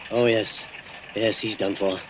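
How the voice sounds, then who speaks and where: A man acting in an old-time radio suspense drama as a desperate, agitated gentleman turned murderer, halting and melodramatic. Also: on the low side, steady tone, dull audio, natural voice. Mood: shaken, guilty. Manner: melodramatic, halting when shaken. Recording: noisy vintage radio, rain behind.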